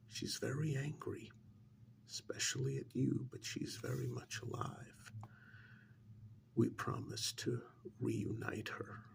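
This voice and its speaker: Man playing a slow, gravelly, half-whispering sinister agent. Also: mid-range voice, heavily acted. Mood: smug, menacing. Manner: slow, deliberate, menacing, with long pauses. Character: sinister male agent coolly interrogating and blackmailing a mad scientist. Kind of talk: soft-spoken ASMR role-play monologue.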